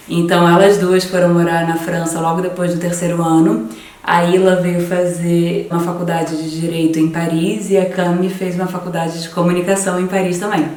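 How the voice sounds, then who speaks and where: A woman's voice: fairly low pitch, darkish tone, distant mic. A Brazilian woman hosting her vlog, chatting casually as she introduces her friends. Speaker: vlog host. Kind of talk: casual chat introducing a video. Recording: echoey room with faint background hum.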